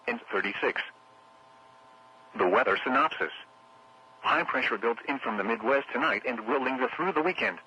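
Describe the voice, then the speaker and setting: Robot-sounding tts guy on weather radio, super sharp n buzzy, raspy, clipped forecast reading. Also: mid-range voice, muffled recording. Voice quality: synthetic text-to-speech voice. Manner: formal, clipped, evenly phrased reading. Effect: radio broadcast. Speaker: automated weather radio announcer. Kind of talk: scripted weather forecast readout.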